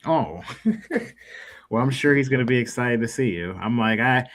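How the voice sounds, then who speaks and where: A man's voice: mid-range voice, lively intonation, measured pace, a bit bright. Man hosting a casual livestream, rambling encouragingly. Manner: rambling, encouraging, searches for words. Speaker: livestream show host. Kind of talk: casual livestream interview chat.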